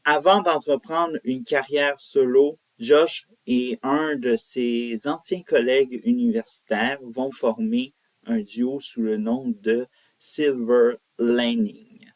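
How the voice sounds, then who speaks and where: Quebecois guy narrating an artist bio, really slow and halting, midrange voice, no room echo. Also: medium pitch, fairly even intonation. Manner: halting, informal, with self-corrections. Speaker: host of a country music profile show. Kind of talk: semi-scripted biographical narration to viewers.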